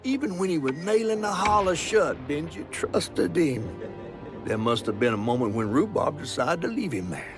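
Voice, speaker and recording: An actor playing a giant talking fish in a video game, a sly storyteller with a Southern US accent and a theatrical, teasing folksy manner. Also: male voice, fairly high pitch, very animated, moderate pace, music in the background. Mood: somber storytelling. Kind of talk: video game character acting.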